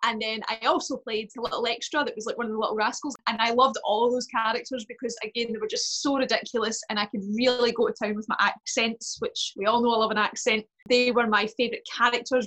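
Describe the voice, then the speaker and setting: Effusive woman with a slightly high voice. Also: steady tone, fast, fairly bright tone, low-quality recording. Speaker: children's performer, podcast guest. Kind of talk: casual podcast chat.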